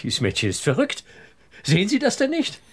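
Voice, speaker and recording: A man's voice: sits high, huge pitch swings, normal speed, husky, heavily acted. An actor playing an earnest married man under suspicion in a radio drama, defensive and emphatic in his denials. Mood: indignant.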